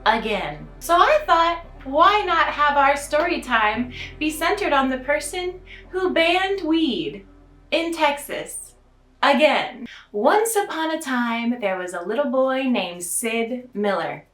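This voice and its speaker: Satirical storyteller, feminine American voice, bright and unhurried, sarcastically sweet and theatrical, heard in an echoing room. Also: high voice, big pitch swings. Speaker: storytelling host in a drag elf persona. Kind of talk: satirical storytime to camera, mock fairy tale. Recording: roomy, echoing sound.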